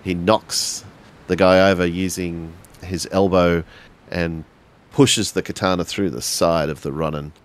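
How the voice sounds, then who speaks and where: A man improvising narration for his character in a tabletop role-playing game, halting as he searches for words. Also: low voice, steady tone.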